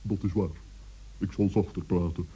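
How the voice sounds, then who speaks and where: A man's voice: low-pitched, steady tone, moderate pace, mellow, breathy edge. A man playing a self-important, earnest talking bird in a children's radio play, solemn and fussy. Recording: muffled old radio play recording.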